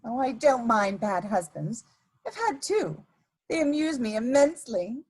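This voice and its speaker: A woman's voice: fairly high pitch, animated, measured pace, a little mellow, breathy edge. A woman playing a scheming, seductive society adventuress in a dramatic reading of a period comedy, with theatrical, coquettish emphasis. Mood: playful.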